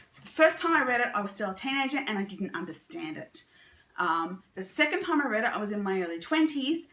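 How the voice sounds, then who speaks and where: A woman talks casually as a book vlogger, in a chatty, conversational way. Her voice is bright and forward, on a medium pitch. The recording sounds roomy, with noticeable echo. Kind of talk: casual book review chat to camera.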